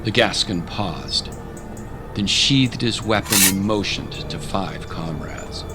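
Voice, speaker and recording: A man's voice: low voice, takes it slowly. A man narrating a scripted dramatic story as an audiobook narrator. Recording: music under the voice.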